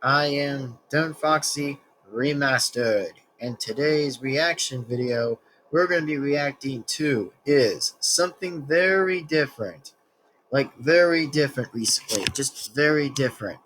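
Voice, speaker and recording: A young man in his twenties hosts a casual reaction video, talking in a slightly high voice. He rambles, circles back on himself and trips over words as he reads out loud. A faint hum of room noise sits behind him. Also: rough recording. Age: 20s. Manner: rambling, many restarts and stumbles while reading. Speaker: reaction channel host. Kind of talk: casual reaction video, reading text aloud.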